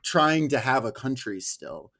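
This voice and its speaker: American guy podcasting, halting and filler-heavy. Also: medium pitch, very animated, gravelly, a bit bright. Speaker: podcast host. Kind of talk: casual political discussion.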